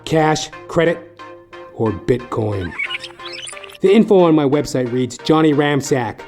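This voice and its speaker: A man playing a sardonic, world-weary private detective, narrating deadpan in hard-boiled style for an audio dramedy. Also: on the high side, slow pace. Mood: wry, world-weary. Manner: wry, deadpan wisecracking. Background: music behind the voice.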